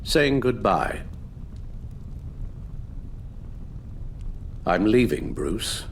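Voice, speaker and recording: An actor playing an elderly British butler in a video game, formal and measured, weary and full of sorrow. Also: male voice, fairly low pitch, animated, slight background noise. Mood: resigned sorrow. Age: older. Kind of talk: dramatic video game acting.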